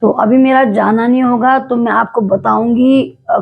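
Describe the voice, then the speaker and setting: A devout woman from Uttarakhand tells a temple legend to her viewers, her voice slightly hoarse from days of singing. The tone is gravelly and husky, warm with devotion, and broken by many small hesitations as she explains. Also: on the high side, steady tone, moderate pace, phone-quality sound. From Uttarakhand, India. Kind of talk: casual storytelling to camera.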